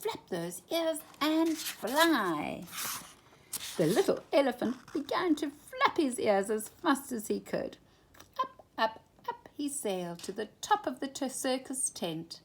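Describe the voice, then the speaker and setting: A woman reading a children's storybook aloud at bedtime in an expressive, animated way. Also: high-pitched, unhurried, breathy edge.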